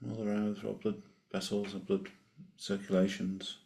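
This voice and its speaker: A man leading a guided meditation, giving gentle, unhurried invitations with long pauses between them. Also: lowish voice, completely flat delivery, gravelly.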